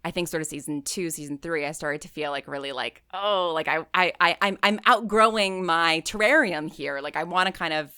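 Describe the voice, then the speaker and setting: Woman, slightly low voice, casual interview guest, chatty and rambling, emphatic on key words, very dry recording. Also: fairly quick, few pauses, sharp tone, smooth, dry recording. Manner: rambling, peppered with "like" and "you know". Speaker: interview guest, audio drama writer and creator.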